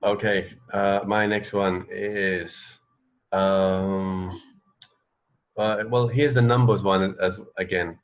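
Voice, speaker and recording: A man's voice: sits low, flat delivery, takes it slowly. A man co-hosting a radio show, chatting casually about trivia in a hesitant, mildly wry way. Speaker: radio co-host. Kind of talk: casual chat, sharing trivia.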